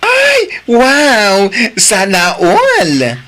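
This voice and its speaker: A man's voice: high voice, wildly swooping pitch, drawn-out words, bright, forceful tone, heavily acted. A man with an Ilocano Filipino accent playing a chatty, nosy, supportive office friend in an audio drama. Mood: surprised.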